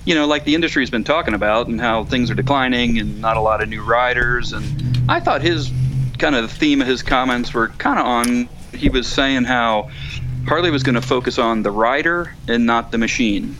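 A middle-aged man giving a casual, unscripted report as a podcast correspondent, measured and matter-of-fact. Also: mid-range voice, lo-fi sound, noisy background. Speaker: call-in correspondent on a motorcycle podcast.

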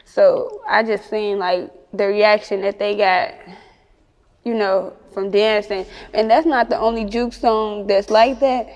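A woman's voice: mid-range voice, steady tone, a little slow, darkish tone, in a large hall, highs cut off. A woman with a Chicago accent chatting casually in an interview as a rapper, with slangy, sweary talk. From Chicago, United States.

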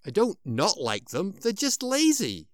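An actor playing a sour, confused old man in an audio drama, with a halting, stammering delivery. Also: high voice, wildly swooping pitch, dry recording, studio quality, full character voice. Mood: defensive.

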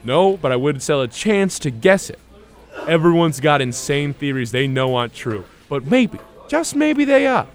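An actor playing a cocky young hustler with smooth salesman patter. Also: fairly high pitch, big pitch swings, normal speed, faint music behind. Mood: slick, scheming. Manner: smooth-talking put-on gangster salesman patter. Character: cocky young male hustler running a guessing racket.

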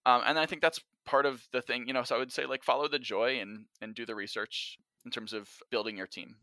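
A man's voice: mid-range voice, fairly even intonation, fairly quick, pushed and bright, no room sound. A man speaking as a podcast guest, giving advice in a casual, conversational way. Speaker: podcast guest, actor and writer-director.

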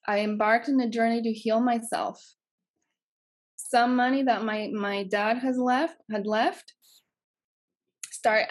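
A woman of about forty from Greece, with a Greek accent, earnestly telling her life story as a podcast guest and repeating phrases for emphasis. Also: fairly high pitch. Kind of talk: personal storytelling in an interview.

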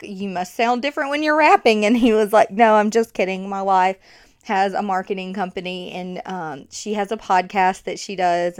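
A woman with a thick Southern accent hosting her own podcast, chatting casually with fillers and laughter into a new microphone. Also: medium pitch. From Alabama. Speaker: podcast host.

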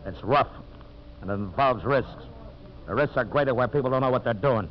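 A man acting a cold, scheming business partner in a 1950s radio drama, measured and condescending. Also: mid-range voice, natural voice. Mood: cool, warning. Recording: muffled, noisy 1950s radio recording.

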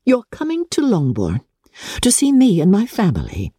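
A woman voicing a proud, composed young gentlewoman in a storybook reading, politely firm and coolly defiant. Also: medium pitch, huge pitch swings, slightly rough, dry recording, studio quality, voice pushed up, exaggerated voice. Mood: cool disdain. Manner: cool, measured, politely firm.

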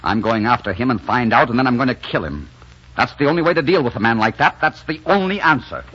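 A man's voice: medium pitch, animated, fast, very noisy, lightly acted. An actor playing a stubborn, intense romantic leading man in a 1940s radio drama. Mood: vengeful. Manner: intense, insistent. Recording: muffled 1940s radio broadcast.

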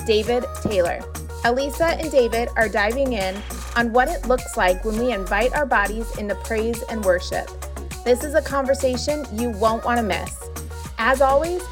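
A woman reading a scripted podcast intro in an upbeat, friendly way. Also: a little high. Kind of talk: scripted promo narration. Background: music bed.

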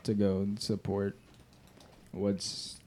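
A young man talking casually as a podcast guest in a hesitant, halting way. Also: fairly low pitch, monotone, mellow. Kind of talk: casual conversation.

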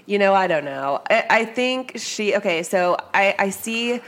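A woman hosting a true-crime podcast, her voice stuffy from a cold and a little worn out. She chats casually and hedges a lot, with plenty of ums and likes. Her pitch sits in an ordinary middle range. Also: expressive, measured pace, fairly bright tone. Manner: chatty, hesitant, lots of fillers. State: congested with a cold, tired. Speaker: podcast host.

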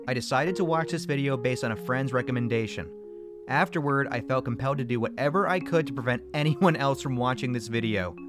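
An actor in an audio fiction comedy playing a jealous, defensive, foul-mouthed video maker who gripes sarcastically. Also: male voice, mid-range voice, close to an everyday voice. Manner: sarcastic, griping, profane. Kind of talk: naturalistic audio fiction dialogue.

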